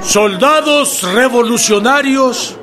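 An actor playing an earnest revolutionary president in a radio drama, speaking in a formal, declamatory way amid a crowd. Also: male voice, very high-pitched, slow. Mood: impassioned pleading. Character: earnest revolutionary president pleading with his troops. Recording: outdoor crowd noise behind.

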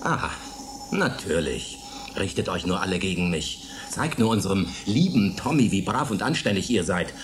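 An actor playing a defiant, hot-headed rebel held in a space dome, in a dramatic radio-play style. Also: male voice, deep voice, big pitch swings, moderate pace. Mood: bitter, defiant. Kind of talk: German radio play acting. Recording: noisy radio drama recording.

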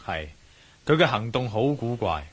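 Male lead, Hong Kong Cantonese radio drama: suspicious investigator hero, husky, very expressive, given to sighs. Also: lowish voice, moderate pace, full character voice. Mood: troubled, serious. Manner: sighing, conversational.

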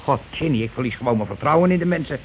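A man's voice: mid-range voice, expressive, racing, close to an everyday voice. Radio drama husband male actor: blunt, bossy, indignant working man, pompous streak, mid-range voice, faint recording noise. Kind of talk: radio play acting. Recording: noisy old radio play recording.

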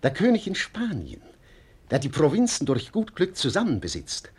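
Sly pedantic agitator, highish husky voice, haranguing townsfolk rhetorically in vintage radio-play style. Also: male voice, wildly swooping pitch, moderate pace, full character voice. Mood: lecturing, insistent. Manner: lecturing, insistent, rhetorical. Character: rabble-rousing clerk, sly, pedantic and agitating. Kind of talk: theatrical 1940s German radio play acting.

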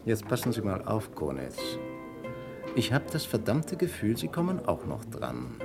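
An actor playing a shrewd, persistent police inspector in a vintage radio crime drama, blunt and probing. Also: male voice, on the low side, huge pitch swings, a little slow, vocal fry, over music, full character voice. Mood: stern, pressing. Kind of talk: German radio crime play acting, 1950s to 80s.

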